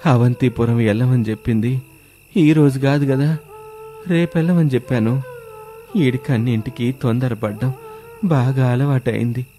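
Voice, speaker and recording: A man's voice: medium pitch, a little slow, long pauses, mellow, faint music behind, natural voice. A man playing an ailing, cautious eighty-year-old village father who admonishes like an elder in a storybook tale. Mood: admonishing.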